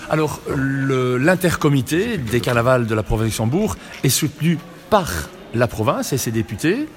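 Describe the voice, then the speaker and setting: A man's voice: medium pitch, animated, moderate pace. A man presenting and asking questions as a radio interview host.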